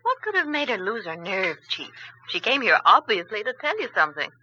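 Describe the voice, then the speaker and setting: An actress playing an earnest, resourceful young detective's assistant in a 1940s radio drama. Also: female voice, mid-range voice, huge pitch swings, fairly quick. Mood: concerned, curious. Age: young adult. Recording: muffled, noisy 1940s radio broadcast.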